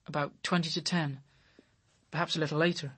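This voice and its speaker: A man's voice: fairly high pitch, steady tone, fairly quick, a bit bright, husky. An actor with a British accent playing a devoted, anxious young man in love in a radio crime drama, earnest and pleading in manner.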